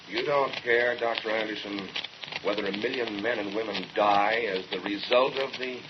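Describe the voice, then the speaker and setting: An actor playing an earnest, reproachful colleague of a scientist, insistent and dramatic in an old-time radio drama. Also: male voice, on the low side, moderate pace, natural voice. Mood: reproachful, pressing. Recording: muffled, noisy vintage radio recording.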